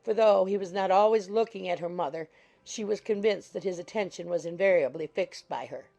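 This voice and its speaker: A woman narrating an audiobook in a measured, formal storytelling manner. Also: fairly low pitch, vocal fry, warm tone, soft background music. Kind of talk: scripted literary narration.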